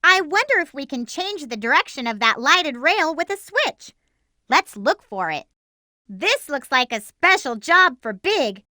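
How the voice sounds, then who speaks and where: A woman voicing an excitable, determined young girl heroine in a video game, with a girlish, perky, emphatic delivery. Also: a little slow, exaggerated voice. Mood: eager, curious. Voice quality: girlish, high-pitched. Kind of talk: animated video game character voice lines.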